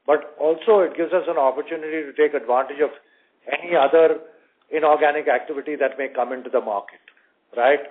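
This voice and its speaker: A male bank executive answering on an earnings call in a conversational way, checking in with tag questions. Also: fairly high pitch. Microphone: phone conference call. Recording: tinny narrowband phone line.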